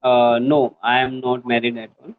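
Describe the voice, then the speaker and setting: A man's voice: medium pitch, flat delivery, moderate pace, no room sound, narrow, tinny audio. A young man from India with an Indian accent, learning English and talking hesitantly with many fillers. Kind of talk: casual conversation lesson.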